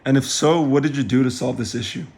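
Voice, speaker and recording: A man's voice: mid-range voice, fast talker, gravelly, a little mellow. Young man hosting a casual podcast. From Mexican-American from West Texas. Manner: reads questions from a script. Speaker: podcast host.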